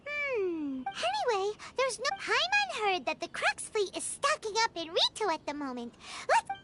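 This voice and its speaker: A woman voicing a tiny, excitable floating female companion creature in a video game who talks in squeaky outbursts and cuts herself off. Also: unhurried, full character voice. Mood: chirpy, indignant. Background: game music.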